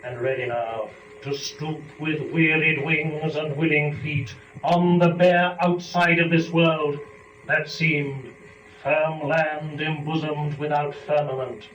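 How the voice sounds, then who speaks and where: A man giving a dramatic recitation of classical epic verse in a solemn, measured, declamatory way. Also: fairly high pitch, steady tone, fairly bright tone, muffled recording. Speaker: dramatic reader of epic poetry. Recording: roomy, distant, faintly noisy recording.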